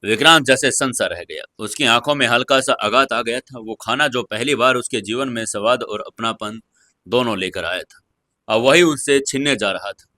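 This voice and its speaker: A male narrator reads a dramatic audio story in a bright, forceful voice of middling pitch. The sound is close and very dry, with no room around it. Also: normal speed, no room sound.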